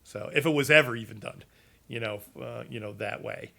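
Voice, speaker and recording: A man's voice: medium pitch, fairly quick, gravelly, pushed and bright. A man chatting casually about game builds, rambling with many fillers and restarts, and losing his voice. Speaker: gaming content creator.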